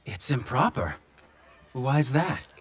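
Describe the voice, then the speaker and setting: A man voicing an earnest, composed young general in a video game cutscene, speaking in a measured, thoughtful way. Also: mid-range voice, very animated. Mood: gentle, curious.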